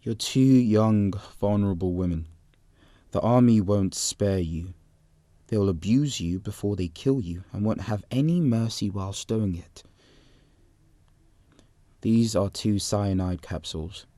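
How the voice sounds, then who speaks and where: Grave, low-voiced armed militant. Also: male voice, mellow, no room sound. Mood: grave, warning. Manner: measured, grave, deliberate. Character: solemn, commanding armed Sikh militant. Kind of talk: audio drama acting.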